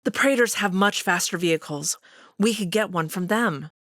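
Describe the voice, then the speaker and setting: A woman's voice: mid-range voice, moderate pace, a bit bright, no echo, clean studio sound, lightly acted. A woman voicing a concerned, alert female alien wolf in a visual novel. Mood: alarmed, concerned.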